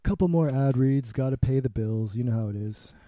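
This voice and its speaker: A man's voice: mid-range voice, a little fast, dark tone, no room sound, clean studio sound. A man making a casual solo vlog, chatting in a jokey way as he runs his own sound checks between ad reads. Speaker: content creator doing ad reads.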